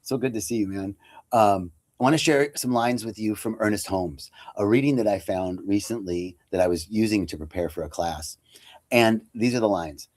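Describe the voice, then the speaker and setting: A man's voice: lowish voice, normal speed, darkish tone. A man giving a casual, conversational inspirational talk as a spiritual teacher on a computer webcam livestream. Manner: casual and conversational, with lots of fillers.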